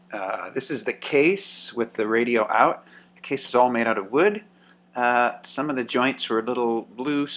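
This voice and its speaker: A man casually narrating a show-and-tell about a vintage radio he restored, relaxed and pausing often with "uh" and "um". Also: fairly low pitch. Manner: relaxed, with frequent "uh" and "um".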